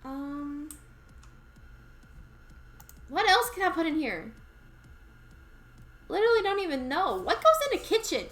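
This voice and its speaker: A woman with a Southern US accent talks her way through a game stream in a relaxed, rambling way. Her voice sits a little high and swings freely up and down. She is easily amused and takes her time. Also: a little slow, pauses often. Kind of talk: casual gameplay chatter.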